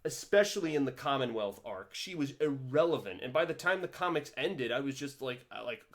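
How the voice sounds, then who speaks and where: A man giving a casual commentary vlog, rambling with many restarts and swinging his pitch and loudness animatedly. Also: expressive, a little fast. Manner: rambling, with frequent restarts and stumbles. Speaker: vlogger reviewing a TV series.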